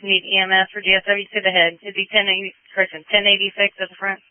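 A man's voice: sits high, completely flat delivery, quick pace, clean tone, dry recording. A man working as a police dispatcher, tersely relaying reports back over the radio. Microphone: radio transmission. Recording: tinny band-limited police radio.

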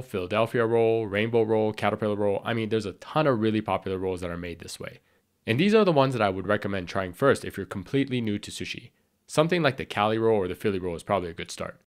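A man with a slightly raspy voice from a cold, explaining sushi basics as the host of a scripted cooking tutorial. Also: lowish voice. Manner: clear, explanatory, friendly instruction. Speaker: sushi chef and channel host.

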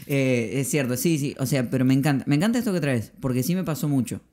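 A man's voice: mid-range voice, lively intonation, fast, a little mellow. A man in his thirties with an Argentine accent, hosting a casual podcast conversation at a close microphone in a small room. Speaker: podcast host, pastor. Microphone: podcast microphone on arm, close.

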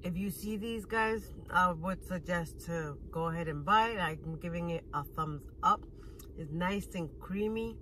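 A woman's voice: lowish voice, unhurried, sharp tone, a little hiss or hum, poor audio. A woman in her thirties chatting casually while eating, filming a food review on her phone in a parked car. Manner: chatty, eating while talking, smacking sounds. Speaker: vlogger. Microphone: phone camera at arm's length.

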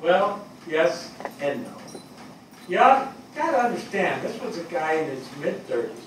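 A man's voice: a little high, very animated, fairly bright tone. Stage reading: older male actor as weary, affectionate fire captain, conversational storyteller, distant and very reverberant. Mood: fond reminiscing. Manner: conversational, storytelling, with pauses and repeats. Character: weary, affectionate fire captain reminiscing about lost men. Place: small theatre stage before an audience. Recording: echoey theatre, far from mic, faint noise.

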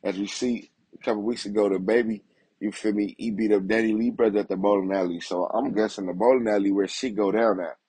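A man's voice: lowish voice, steady tone, fairly quick, warm tone, breathy edge, no room sound, rough recording. A young man hosting a casual news commentary vlog, streetwise and leaning on "you feel me" after nearly every line.

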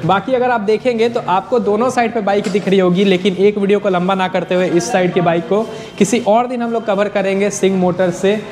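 A man's voice: high voice, fairly even intonation, a little fast, few pauses, darkish tone. A young man hosting a casual vlog walkthrough in an indoor showroom, chatty and repeating the dealer's answers for his viewers, on a lapel mic. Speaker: vlogger host. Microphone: clip-on lapel mic.

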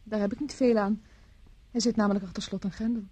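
A woman's voice: medium pitch, fairly even intonation, fast, dark tone, slightly rough, lightly acted. An actress from the Netherlands playing a charming, teasing young society woman in a radio drama. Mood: playful. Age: young adult. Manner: teasing, polite, airy.

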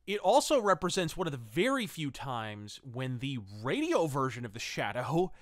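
Male reviewer, lively intonation, theatrical, wry, wordy commentary, dry studio-like sound. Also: mid-range voice, normal speed, no echo. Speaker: podcast host, reviewer. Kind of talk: semi-scripted review commentary.

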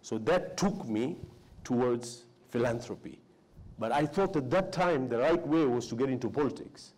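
A man in his forties speaks earnestly on a conference panel. His voice is soft and mellow, and he circles back over his own words as he builds his case. He is recorded on a lapel mic in an indoor hall. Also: medium pitch, lively intonation, normal speed. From Tanzania. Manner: earnest, repeats words when starting, emphatic. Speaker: panelist, businessman. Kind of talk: panel discussion.

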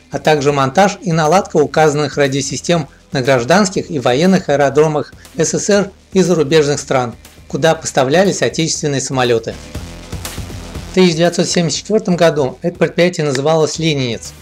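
Male narrator, slightly high voice. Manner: steady, measured reading of long technical lists. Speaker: narrator of expo video report. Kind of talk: scripted voice-over narration. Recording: voice-over with faint background music.

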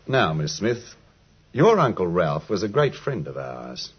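Persuasive gentleman, deep, husky, placating, 1950s radio drama, dull old recording. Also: sing-song, measured pace, full character voice. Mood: measured, persuasive. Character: polite, persuasive gentleman visiting from Australia.

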